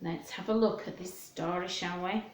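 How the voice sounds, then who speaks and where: A woman's voice: fairly low pitch, fairly even intonation, normal speed, a little hiss or hum. A woman hosting storytime for young children in a sing-song, questioning manner, chatting and reading aloud. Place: indoors at home. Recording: echoey home room.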